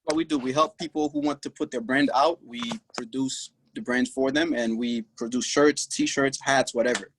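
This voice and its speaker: A man's voice: mid-range voice, fairly even intonation, a bit bright, a little hiss or hum, rough recording. A young man from Haiti, a guest on a podcast, speaking earnestly and proudly from his clothing shop over a video call. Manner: earnest and proud, speaks in short halting bursts. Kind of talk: casual interview talk.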